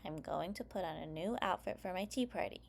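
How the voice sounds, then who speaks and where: A woman voicing a bossy young girl bunny who is busy hosting a tea party, in a bright sing-song storybook voice. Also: on the low side, fairly quick, gravelly. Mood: cheerful.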